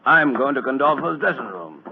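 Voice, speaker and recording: Gruff blunt cop sergeant, middle aged, old radio drama, boxy lofi sound. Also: male voice, mid-range voice, sing-song, fairly quick, a little hiss or hum. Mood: scornful, blustering. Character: gruff middle-aged police sergeant, blustering, grudgingly respectful. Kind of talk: 1940s radio drama acting. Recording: muffled vintage radio recording.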